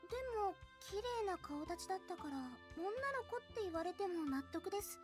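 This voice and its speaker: A high, lively young anime woman in a visual novel, speaking briskly. Music plays behind the voice. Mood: flustered, apologetic. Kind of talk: Japanese visual novel voice acting.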